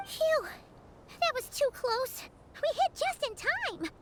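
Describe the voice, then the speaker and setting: A woman voicing a tiny, excitable, childlike companion creature in a video game, with a squeaky voice. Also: slow pace, a little hiss or hum, full character voice. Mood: relieved. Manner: excitable, exclamatory. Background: loud game music.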